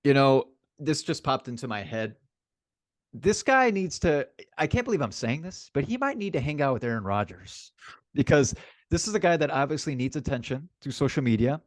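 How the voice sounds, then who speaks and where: A man's voice: mid-range voice, very animated, moderate pace, dry recording, studio quality. A man co-hosting a sports podcast in casual, opinionated chat, emphatic and informal, repeating phrases for emphasis.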